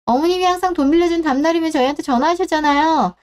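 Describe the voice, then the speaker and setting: A woman's voice: high voice, very fast, natural voice. A woman in her thirties voicing a scheming daughter-in-law who sounds mocking and condescending behind polite words. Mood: smug, mocking. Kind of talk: radio drama acting.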